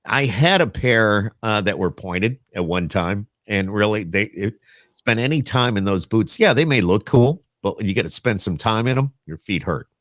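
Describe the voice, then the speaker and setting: A man hosting a podcast, chatting casually in an animated, joking, folksy manner. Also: lowish voice, normal speed, no echo, clean studio sound. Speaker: podcast host. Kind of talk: casual interview chat.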